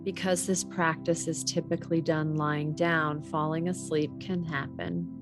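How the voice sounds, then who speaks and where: A woman guiding a body scan meditation in a measured, gently instructional way. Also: low-pitched, little pitch movement, music in the background. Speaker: meditation teacher.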